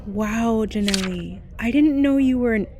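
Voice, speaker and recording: A woman's voice: on the high side, measured pace. A woman playing a friendly, encouraging bookshop regular in an audio drama, in a casual manner. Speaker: voice actor. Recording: faint ambient noise behind.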